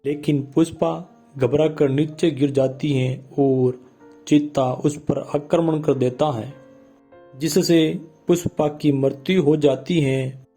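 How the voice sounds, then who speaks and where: A man's voice: fairly high pitch, warm tone. A man narrating a scripted moral story in simple language, with plucked guitar music behind him. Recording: music bed under the voice.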